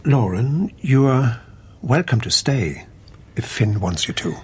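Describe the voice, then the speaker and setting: An actor playing a calm, principled doctor in a radio play, speaking in a measured, formal, explanatory way. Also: male voice, medium pitch, breathy edge, exaggerated voice. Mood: gentle, businesslike. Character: calm, principled male doctor at an assisted-dying clinic.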